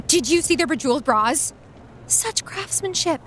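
A woman playing an excitable, chatty, easily dazzled adventurer in an audio drama, animated and gushing. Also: high voice, takes it slowly, slight background noise, full character voice. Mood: gushing, amazed.

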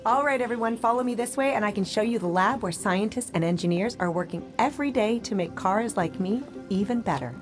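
A woman's voice: medium pitch, a little fast, few pauses, lightly acted. Woman playing a polished holographic AI tour guide, music behind. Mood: bright, rallying. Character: upbeat holographic AI assistant guiding a student tour. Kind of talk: audio drama acting, cheerful presentation.

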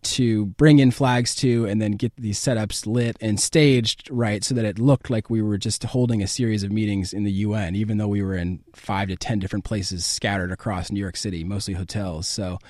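A man's voice: fairly low pitch, fairly even intonation, moderate pace, hardly any pauses, a little mellow, no echo. American man answering in an interview, dry sound. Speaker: interview guest, political campaign advance man.

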